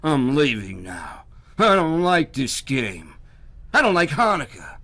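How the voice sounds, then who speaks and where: A man voicing a grumbling, sulky winged goblin in a children's storybook, with drawn-out, emphatic speech. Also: fairly high pitch, huge pitch swings, sharp tone, pitched above the performer's own voice, heavily acted. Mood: angry.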